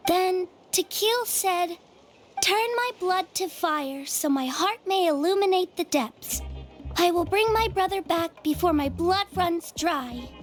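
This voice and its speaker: Very high-voiced shy little girl. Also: slow. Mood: earnest reciting. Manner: hesitant, polite. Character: shy, polite, frail little girl, gentle and earnest. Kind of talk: English video game voice acting. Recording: game music behind the voice.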